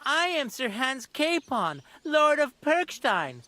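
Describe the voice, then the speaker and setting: A man's voice: extremely high pitch, very animated, takes it slowly, bright, forceful tone, dry recording, heavily acted. An actor playing a scornful, mocking local man in a video game, smug and derisive. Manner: sneering, derisive. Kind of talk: dramatic video game dialogue.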